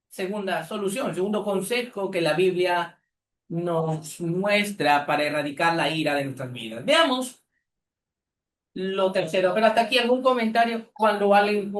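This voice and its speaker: Slow, earnest preacher in an echoey church. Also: male voice, high-pitched. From Peru. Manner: earnest, exhorting, with deliberate pauses. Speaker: pastor. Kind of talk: sermon.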